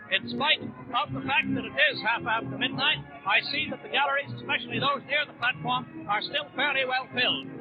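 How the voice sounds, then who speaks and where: Male 1930s radio reporter, very high voice, formal eloquent delivery, dull vintage broadcast sound. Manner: eloquent, formal, descriptive phrasing. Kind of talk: 1930s live radio commentary. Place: on the platform in a large convention hall.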